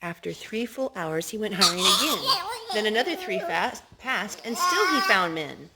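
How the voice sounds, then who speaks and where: A woman reading picture books aloud to young children in an animated way, coaxing them with questions and leaning on key words. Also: mid-range voice, moderate pace, very noisy.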